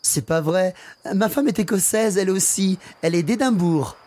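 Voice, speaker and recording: A man's voice: high-pitched, brisk, darkish tone. A man playing a friendly, obliging Frenchman in a radio play for learners. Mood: friendly, helpful. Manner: chatty, obliging. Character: helpful, friendly Frenchman giving directions to tourists.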